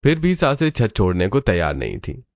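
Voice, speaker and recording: A man's voice: fairly low pitch, huge pitch swings, a little fast, dry recording, highs cut off. A man narrating a scripted cartoon story as its storyteller.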